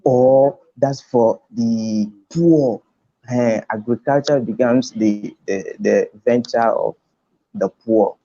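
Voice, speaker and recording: Slow-spoken Ghanaian man, dull call audio. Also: medium pitch, warm tone. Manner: emphatic, repeats phrases for weight. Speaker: conference speaker. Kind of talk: motivational talk on a video conference.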